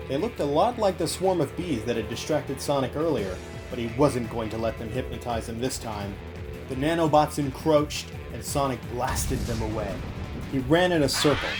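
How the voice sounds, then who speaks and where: Male audiobook narrator over background music. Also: mid-range voice. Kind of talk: scripted dramatic story narration.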